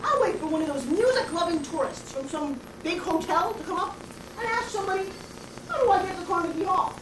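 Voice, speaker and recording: A raspy, brash bag lady who buttonholes passersby and rambles on philosophically, as an actress puts her on. Her voice sits very high and leaps wildly up and down. It is heard from a distance in an echoey room, with a steady hiss of noise behind it. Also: fairly quick. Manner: confrontational, addresses passersby directly. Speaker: actress performing a stage monologue. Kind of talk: theatrical comic monologue.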